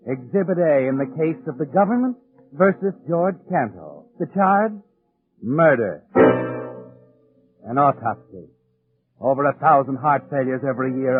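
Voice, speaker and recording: An actor playing an ominous, taunting mystery narrator in 1940s radio suspense, dramatic and insinuating. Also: male voice, fairly high pitch, big pitch swings, normal speed, faint music behind. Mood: taunting, accusing. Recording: tinny 1940s radio recording.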